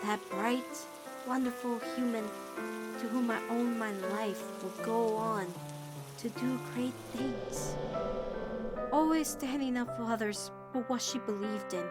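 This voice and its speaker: A woman voicing a devoted, anxious teenage witch girl in an animated-style comic dub, earnest and halting in delivery. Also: a little high, over loud music, lightly acted. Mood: admiring, tender.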